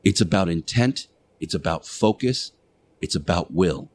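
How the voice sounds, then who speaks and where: A man delivering a sermon-like radio monologue as a show host, speaking emphatically and preachily with deliberate rhetorical repetition. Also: sits low, expressive, slight background noise.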